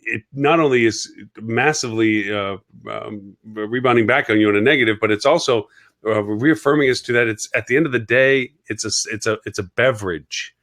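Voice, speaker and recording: A man's voice: on the low side, brisk, a bit bright, no room sound, clean studio sound. A man co-hosting a live marketing show, talking casually and repeating words as he reasons things out. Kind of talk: casual live-stream discussion.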